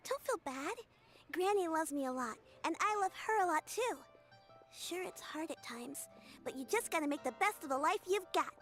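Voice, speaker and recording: An upbeat young girl who looks after her grandmother in a game. Her voice is very high and friendly, with music playing under her. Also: animated, moderate pace. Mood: brave. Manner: bright, polite and upbeat. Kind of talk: game voice acting.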